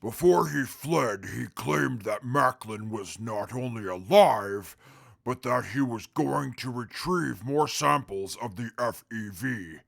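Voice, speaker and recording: Man as a slow, gravelly, haughty warrior. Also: mid-range voice, expressive, a bit bright, no room sound, clean studio sound, full character voice. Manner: stiff, formal, deliberate diction. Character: haughty, disdainful, humorless formal male warrior. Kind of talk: post-apocalyptic audio drama acting.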